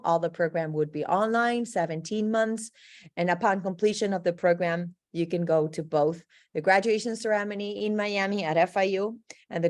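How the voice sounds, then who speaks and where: Woman hosting an online info session. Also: lowish voice. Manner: explanatory, with frequent um fillers. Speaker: webinar host for a graduate program. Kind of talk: informational presentation on a video call. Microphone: video call.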